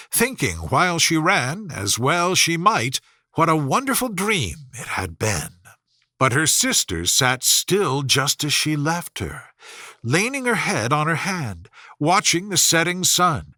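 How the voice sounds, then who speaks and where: A man reading a children's story aloud as a scripted, expressive narration. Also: fairly high pitch, dry recording, clean studio sound. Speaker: audiobook narrator.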